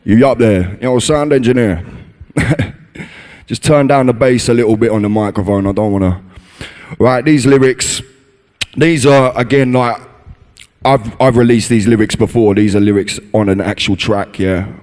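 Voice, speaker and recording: Bassy laid-back man in a hall. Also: a little slow. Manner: relaxed, tags lines with "you know" and "man". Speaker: MC giving a workshop. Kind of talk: casual talk to an audience. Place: conference hall. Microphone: handheld stage microphone. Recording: reverberant hall.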